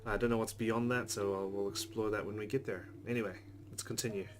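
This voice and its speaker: A man's voice: lowish voice, little pitch movement, fast. A man hosting a let's play, reading story text aloud and commenting casually on the game. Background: game music.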